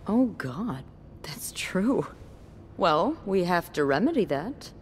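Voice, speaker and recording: Wry young coworker, playful, self-assured, lowish voice, very lively intonation, game dialogue. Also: female voice, slow pace, a little hiss or hum. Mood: playful, candid. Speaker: game character voice actress. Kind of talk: naturalistic video game dialogue.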